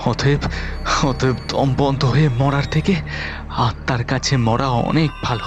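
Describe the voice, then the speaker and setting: A man's voice: medium pitch, steady tone, a little slow, highs cut off, close to an everyday voice. A man playing a frightened but resolute young man in a horror audio story, urgent and insistent, repeating himself. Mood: desperate, resolute. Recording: voice over background music.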